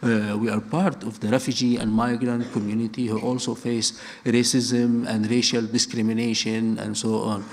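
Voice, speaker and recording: A man's voice: medium pitch, flat delivery, normal speed, hardly any pauses, slight background noise. A middle-aged man who grew up in Lebanon and speaks natively, giving an earnest public talk with frequent restarts and repeated phrases. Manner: earnest, building points through repetition, frequent restarts.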